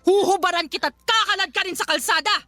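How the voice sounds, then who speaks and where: A woman's voice: extremely high pitch, fast talker, sharp tone, no echo, clean studio sound, soft background music. A woman acting as an overbearing Filipino mother who scolds and threatens while defending her grown son in a radio drama. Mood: outraged, threatening.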